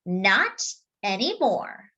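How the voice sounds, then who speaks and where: A woman's voice: medium pitch, very animated, drawn-out words, sharp tone, dull audio, heavily acted. A woman voicing a bossy but protective young girl, an older sister in a storybook, speaking emphatically. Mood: protective, firm. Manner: emphatic, indignant.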